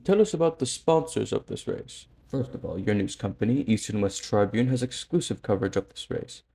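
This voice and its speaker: Male actor as serious official for animated dub: measured and formal, creaky middle-pitched voice, soft dark tone. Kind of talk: comic-book dub voice acting.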